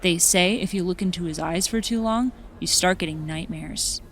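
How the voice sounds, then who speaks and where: A woman playing a protective, frank teenage high-school girl in a comic fan dub. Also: normal speed, slight background noise, lightly acted. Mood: ominous, earnest.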